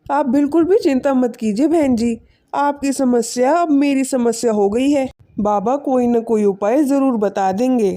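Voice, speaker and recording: A woman voicing a poor, weary, kind-hearted village farmer and father in an animated moral story. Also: high voice, measured pace. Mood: reassuring.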